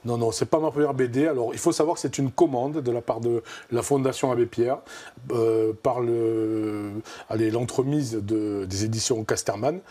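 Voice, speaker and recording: A man in his fifties with a southwestern French accent speaks in a mellow, slightly husky voice. He talks thoughtfully and casually as a studio guest, with many fillers, on a lapel mic. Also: mid-range voice, fairly even intonation, moderate pace. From southern France. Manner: thoughtful, gestures while talking, many fillers. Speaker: comic artist guest.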